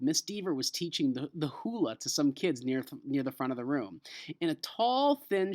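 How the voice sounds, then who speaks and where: A man with an American accent, an elementary school teacher reading a children's novel aloud and explaining it to a class in an expressive, emphatic way. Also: mid-range voice.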